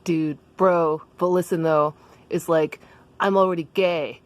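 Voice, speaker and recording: A woman's voice: fairly low pitch, steady tone, a little slow, close to an everyday voice. A woman playing a laid-back, blunt schoolgirl slacker in a comic story, slangy and casual, with a drawling delivery. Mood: blunt, unbothered.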